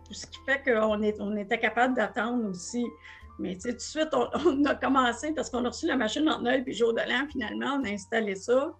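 A woman's voice: a little high, vocal fry, breathy edge. A woman in her sixties with a Quebec French accent, a business co-owner talking plainly in a casual podcast conversation, often restarting her phrases. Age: 60s.

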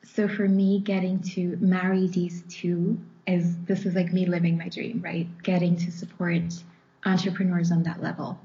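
A woman's voice: on the low side, flat delivery, moderate pace, mellow, muffled recording. A woman whose first language is German, a coach chatting as a podcast guest with frequent laughs and tag questions. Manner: laughs often, says "right?" a lot. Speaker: guest, subconscious-belief coach. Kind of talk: casual podcast conversation.

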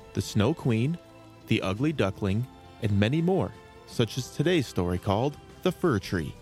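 A man hosting a children's story podcast, reading a scripted introduction in a measured, presentational way. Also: medium pitch, a little mellow. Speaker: podcast host.

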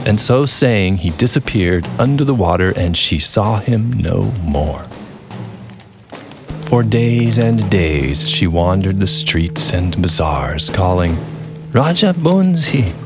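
A man narrating a scripted folktale as a storyteller, deliberate and dramatic with pauses. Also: low-pitched. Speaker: storyteller, podcast host. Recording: music behind the voice. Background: music.

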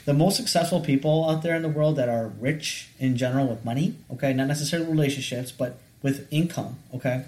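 A man's voice: mid-range voice, fast, few pauses, darkish tone. A man in his late thirties, a podcast guest, explaining his ideas earnestly and often checking in with "right?" and "okay". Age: 30s. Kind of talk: casual chat.

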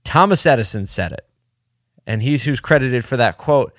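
A man hosting his own podcast from his office, his voice a little hoarse and low on energy, talking candidly and rambling as he pushes himself to liven up. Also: animated, normal speed, a bit bright, no room sound, studio quality. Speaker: podcast host, agency owner. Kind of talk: casual solo podcast talk. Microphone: desk mic in his office.

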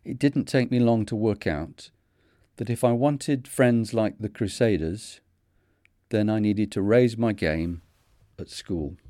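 A man in his fifties with a Manchester accent, hosting a podcast and narrating his own story in a measured, earnest way. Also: fairly low pitch, mellow, no echo, clean studio sound. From North of England. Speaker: podcast host. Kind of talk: scripted reflective narration.

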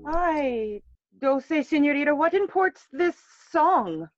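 Worried regal queen in an audio drama, slow talking, bit muffled recording. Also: high-pitched, lively intonation, soft background music. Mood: concerned. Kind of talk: classical theatre acting in audio play.

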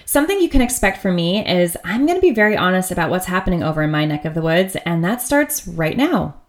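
A woman's voice: on the low side, big pitch swings, fast talker. A woman in her thirties from the United States hosting her own podcast, talking in a chatty, upbeat and candid way with frequent laughs. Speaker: podcast host. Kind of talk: casual solo podcast monologue.

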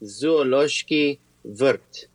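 A man's voice: mid-range voice, extremely slow, poor audio. A man co-hosting casually as a language learner, giving brief, good-humored replies and repeating words.

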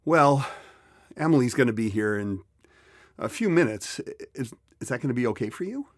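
A man's voice: mid-range voice, animated, moderate pace, no echo, clean studio sound, natural voice. A man playing an awkward, flustered middle-aged dad in an audio drama, hesitant in his delivery. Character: awkward, flustered divorced dad of a teenage girl.

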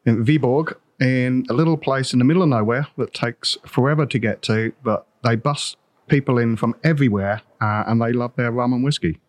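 A man's voice: medium pitch, a little fast, no echo. Man, podcast co-host, casual chat, easygoing, hesitant. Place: warehouse with a bottling line.

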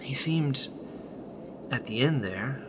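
Man playing slow-spoken, troubled rebel medic. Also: mid-range voice, animated, noisy background. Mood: troubled, puzzled. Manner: questioning, earnest. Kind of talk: sci-fi radio drama acting.

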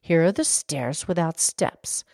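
A woman voicing a big, kindly talking fish, gurgling and measured, in a storybook tale. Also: low-pitched, animated, gravelly, dark tone, no echo, clean studio sound, voice pushed down, exaggerated voice. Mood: cautioning.

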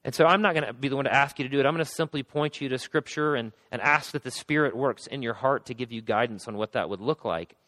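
A pastor preaching a sermon, speaking earnestly with frequent restarts, his voice going hoarse. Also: medium pitch, quick pace, hardly any pauses, a bit bright, in a large hall.

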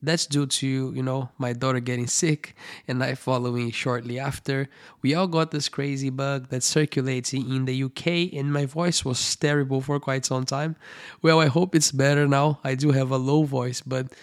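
A man's voice: mid-range voice, fairly even intonation, normal speed. A man, a medical doctor, hosting a podcast and explaining eye research in simple, friendly terms, often checking in with "right?".

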